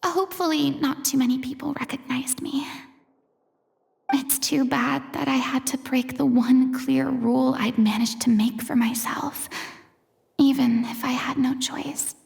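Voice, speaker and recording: High, creaky-voiced flustered young stage star. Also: female voice, fairly even intonation, measured pace, darkish tone, big-room sound, heavily acted. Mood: wistful, private. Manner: hesitant, flustered. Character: flustered former stage star, young woman avoiding the spotlight. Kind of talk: video game voice acting.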